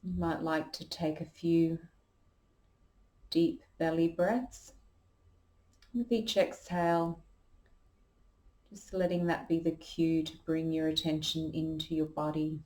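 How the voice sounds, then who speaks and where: A woman leading a guided meditation as a yoga teacher, calm and unhurried, giving gentle instructions. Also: low voice.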